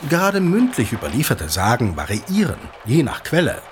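Guy as teacher dad, lecturing, precise, really up and down pitch, radio play. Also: wildly swooping pitch, moderate pace, background noise. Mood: instructive. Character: bookish father, a pedantic teacher. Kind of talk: audio drama acting.

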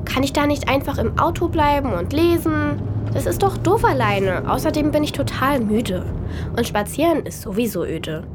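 A high, lively-pitched young girl in a children's radio play. She is sulky and pleading, with a dramatic whine. Loud ambient noise sits behind the voice. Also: normal speed. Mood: whiny, pleading.

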